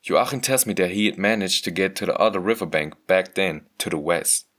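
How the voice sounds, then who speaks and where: A man reading a scripted first-person story as a motion comic narrator, measured and earnest. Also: low voice, a bit bright.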